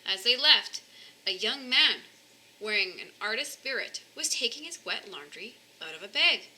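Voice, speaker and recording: A woman's voice: mid-range voice, animated, moderate pace, sharp tone, rough recording. A woman reading a children's book aloud expressively as a storyteller.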